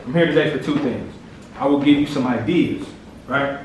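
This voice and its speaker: Deep-voiced man speaking in an echoing hall. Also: steady tone, normal speed. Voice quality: deep, powerful voice. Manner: punctuates lines with "right?". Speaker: motivational speaker. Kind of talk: motivational speech. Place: large echoey hall. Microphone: distant from the recording mic. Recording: echoey hall from far off, light noise.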